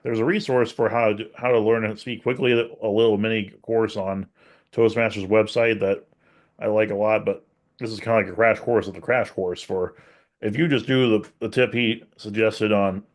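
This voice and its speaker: Low-voiced man evaluating on a call. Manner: thoughtful, self-deprecating, with restarts. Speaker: podcast co-host giving speech evaluation. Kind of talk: casual evaluative discussion. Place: virtual meeting. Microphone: video call.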